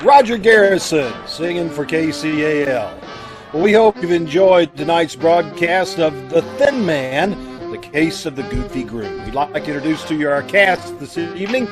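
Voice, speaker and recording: A man with a fairly high, very varied voice announces closing credits for a live radio show. He presents the cast in a sign-off style, with music in the recording. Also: animated, moderate pace, a crowd in the background.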